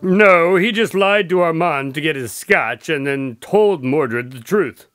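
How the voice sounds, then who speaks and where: An earnest, loyal doctor sidekick in a radio play, acted by a man. His voice sits fairly high and moves in an animated way, with plain, gently questioning delivery and a very dry sound. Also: a little slow, smooth, no echo, faint music behind. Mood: warm, reminiscing. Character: earnest, mildly skeptical male doctor, the detective's loyal sidekick. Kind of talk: audio drama acting.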